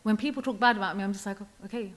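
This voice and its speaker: Young woman guest, even and unhurried, relaxed interview chat. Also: mid-range voice. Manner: calm, reflective, often quotes herself. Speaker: podcast guest.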